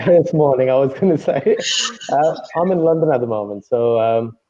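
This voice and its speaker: A man from India, a programme participant, speaking in a relaxed, easygoing way over a video call. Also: medium pitch, normal speed. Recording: muffled video call audio.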